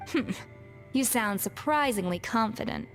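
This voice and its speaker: A woman's voice: on the high side, expressive, a little slow. A woman playing a proud, exacting young chef in an anime-style game, speaking with poised, precise delivery. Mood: cool challenge. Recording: long reverb, game music behind. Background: game music.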